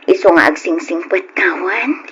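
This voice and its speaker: A woman acting in an old Ilocano radio drama as a devoted young mother, tender, pleading and emotional, with a husky voice. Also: on the low side, normal speed, a bit bright, dull audio. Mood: gently reassuring. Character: devoted young mother leaving her children to work abroad.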